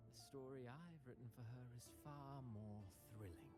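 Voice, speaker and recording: A scheming, self-satisfied villain of a video game voiced by a man speaks like a playwright in love with tragedy. His delivery is theatrical and poetic, with a drawl at middling pitch, set over prominent music. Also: moderate pace, close to an everyday voice. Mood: smug, scheming. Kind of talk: scripted video game voice acting. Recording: music playing behind the voice.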